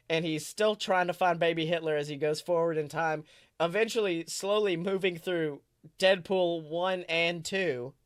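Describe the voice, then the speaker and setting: A man's voice: a little high, clean tone, no room sound, studio quality. A man co-hosting a podcast, improvising a comic story in a rambling, self-correcting, playfully dramatic way. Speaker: podcast co-host.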